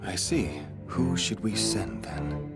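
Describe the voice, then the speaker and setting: An actor playing a shrewd, courteous elder-brother prince and statesman in an English anime-dub audio drama, measured and diplomatic. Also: fairly low pitch, lively intonation, music in the background. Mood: businesslike.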